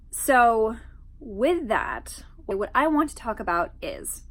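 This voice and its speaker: Young female channel host, a bit stuffy, chatty and unscripted, lots of ums. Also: medium pitch. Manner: chatty, frequent ums and asides. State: stuffy from allergies. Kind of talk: casual talk to camera.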